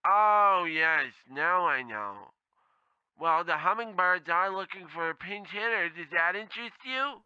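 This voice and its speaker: Man playing a bright, high-voiced, tactless male clerk. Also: expressive, measured pace, clean tone, no echo, lo-fi sound, heavily acted. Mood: blithely tactless. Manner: rambling, bumbling, bluntly insensitive. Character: blithely tactless, gloomy male temp agency clerk. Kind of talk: scripted comedy radio play acting.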